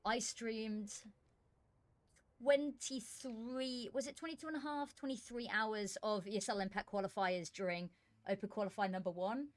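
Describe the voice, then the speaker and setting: A woman's voice: medium pitch, steady tone, normal speed. Woman streamer with a tired, worn voice, talking casually and muttering to herself as she sets up. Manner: muttering to herself between chatty asides. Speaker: esports streamer and commentator. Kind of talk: casual live-stream chat while setting up. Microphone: desk microphone.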